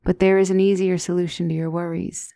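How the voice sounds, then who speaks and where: A woman playing a gentle demonic mentor in an ASMR fantasy roleplay, speaking softly with formal, measured, reassuring diction and often near a whisper. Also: fairly low pitch, little pitch movement, no room sound, close to an everyday voice. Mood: calm reassurance. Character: gentle demonic tutor, female mentor to a young royal.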